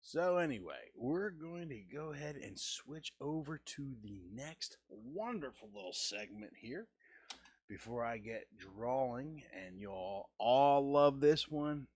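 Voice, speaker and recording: Husky-voiced man in his forties, halting. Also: expressive, a little mellow, dry recording. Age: 40s. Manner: halting, restarts and stammers, deliberate lowered delivery. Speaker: host. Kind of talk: casual art-stream chat.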